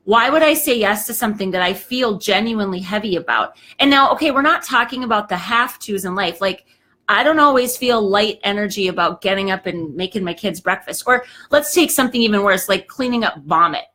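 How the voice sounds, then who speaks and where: A woman's voice: medium pitch, lively intonation, a little fast, sharp tone. Chatty woman giving a motivational talk. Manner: chatty and emphatic, says "okay" a lot. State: slightly hoarse, clearing throat. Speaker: host of a live talk show.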